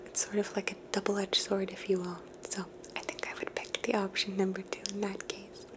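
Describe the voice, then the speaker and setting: A woman hosting an ASMR video, talking in a soft, unvoiced whisper and musing thoughtfully as she answers viewers' questions. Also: mid-range voice, flat delivery, normal speed. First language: English. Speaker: ASMR host.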